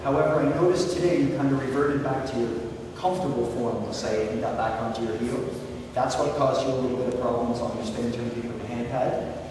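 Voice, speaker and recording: A man's voice: medium pitch, fairly even intonation, a little fast, noisy background. Man with a big projecting voice in an echoey hall. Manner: big projecting voice, methodical and encouraging. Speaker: martial arts instructor. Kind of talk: spoken feedback at a belt test. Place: large echoing training hall. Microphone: distant camera mic. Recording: echoey hall recorded from far off.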